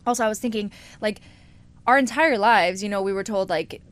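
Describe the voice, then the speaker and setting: A young woman co-hosting a casual podcast, telling things in an animated way and acting out what other people say. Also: medium pitch, brisk. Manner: animated storyteller, acts out quoted voices. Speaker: podcast co-host. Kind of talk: casual chat.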